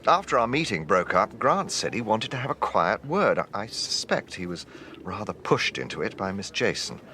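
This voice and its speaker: An actor playing an urbane gentleman police detective in a radio drama, with a British accent and a courteous, probing, dryly amused manner. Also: lowish voice, big pitch swings, moderate pace, slight background noise. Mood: reflective.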